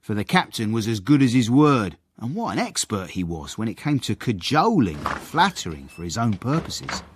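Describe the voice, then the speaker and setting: An actor playing a sly, knowing manservant who narrates a period radio drama with wry, mock-grand flourishes. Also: male voice, mid-range voice, sing-song, normal speed, background noise, close to an everyday voice. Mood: amused storytelling.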